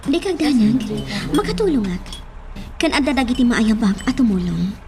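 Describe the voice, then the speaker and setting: Bright-pitched lively young Filipina. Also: female voice, moderate pace. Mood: playful, eager. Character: friendly, willing, dutiful young woman villager. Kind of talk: Filipino radio drama acting. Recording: distant mic, music bed.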